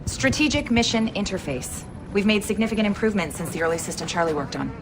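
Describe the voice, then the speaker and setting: An actress playing a stern, no-nonsense intelligence operations chief, briefing crisply in a game cutscene. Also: female voice, mid-range voice, quick pace, music in the background, lightly acted, poor audio. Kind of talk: dramatic game cutscene acting.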